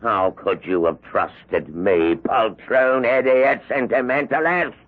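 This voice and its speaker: An actor playing the wicked, gloating ghost of an old-world aristocrat in theatrical old-time radio horror style. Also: male voice, mid-range voice, takes it slowly, narrow, tinny audio, lightly acted. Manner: theatrical, sinister, commanding, archaic phrasing. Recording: echoey reverberant old radio recording, music behind.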